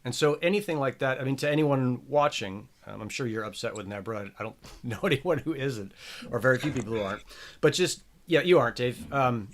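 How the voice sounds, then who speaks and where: A man's voice: medium pitch, fairly quick, a little hiss or hum. A man hosting a casual podcast chat, rambling and chatty with plenty of filler words. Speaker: show host.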